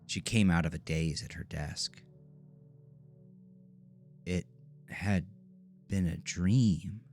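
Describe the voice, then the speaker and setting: Very deep, slow male horror narrator. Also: creaky, darkish tone, faint music behind. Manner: measured, ominous storytelling. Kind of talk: scripted horror audio drama narration.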